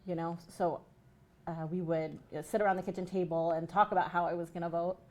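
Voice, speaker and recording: A woman activist, a guest on a talk show, speaking in an animated way with frequent "you know". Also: lowish voice, fairly quick. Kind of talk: casual chat.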